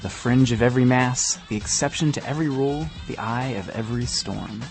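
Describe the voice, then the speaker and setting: A man's voice: mid-range voice, a little fast, faint music behind. A man co-hosting a podcast, reading scripted news. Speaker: podcast co-host.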